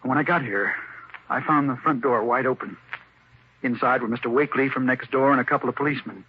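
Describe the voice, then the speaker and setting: A man's voice: medium pitch, fast talker, natural voice. Man voicing a defensive young caretaker, polite, old radio sound. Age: young adult. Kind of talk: 1950s radio drama acting. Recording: dull old radio broadcast with faint hiss.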